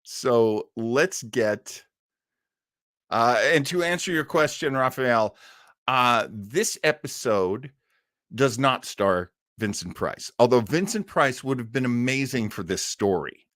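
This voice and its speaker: A man's voice: mid-range voice, lively intonation, takes it slowly, a bit bright, dry recording, clean studio sound. A man hosting a live stream about old-time radio, chatting in a rambling, enthusiastic, theatrical way. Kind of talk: casual live stream chat.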